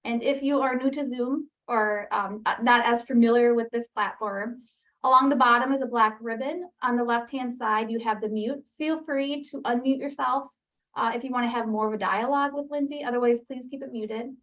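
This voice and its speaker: A woman opening a webinar, explaining the program and the call settings in a brisk way with ums and restarts. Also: a little high, steady tone, dull audio. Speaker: webinar host for a training program. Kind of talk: webinar introduction. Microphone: computer mic on a video call.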